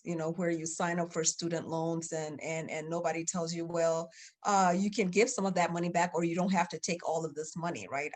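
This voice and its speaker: Guest, woman in her 30s from Liberia, low voice, rambling storyteller. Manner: chatty, storytelling with many fillers. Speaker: guest, children's book author. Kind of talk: casual interview.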